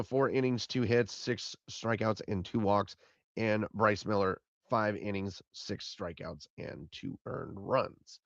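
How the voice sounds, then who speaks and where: A man with a raspy voice, hoarse from being sick, hosting a solo postgame recap podcast and rambling and repeating himself. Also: fairly low pitch, no room sound, muffled recording. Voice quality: raspy, fading voice. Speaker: podcast host.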